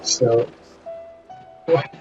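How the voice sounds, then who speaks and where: A man streaming a game, making casual offhand reactions as he plays. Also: extremely slow. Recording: dull lo-fi mic over game music. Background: game music.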